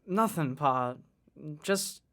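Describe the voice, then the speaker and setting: A woman's voice: deep voice, lively intonation, drawn-out words, fairly bright tone, full character voice. A woman playing an eager, worried young son of a policeman in a 1920s-style radio drama, often hesitant and stammering. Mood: earnest, probing. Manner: hesitant, stammering when pressed.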